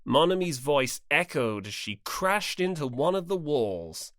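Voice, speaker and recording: Narrator for fiction audiobook: expressive, varied pitch, scripted delivery, very dry studio-like sound. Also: measured pace, dry recording.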